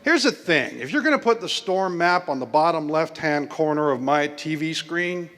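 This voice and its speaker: A man from Hawaii performing a stand-up comedy routine with a dry, deadpan delivery and wry asides. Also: fairly high pitch, normal speed, very noisy. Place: comedy club stage. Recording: live club stage with long reverb and audible crowd.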